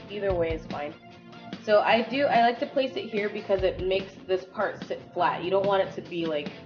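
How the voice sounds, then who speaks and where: Young woman vlogging, stuffy nose from a cold, lots of ums and likes, muffled sound. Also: lowish voice. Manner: chatty, filler-heavy, rambling. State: congested from a cold. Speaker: beauty vlogger. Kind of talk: casual hair tutorial. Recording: dull lo-fi sound with background music. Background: music.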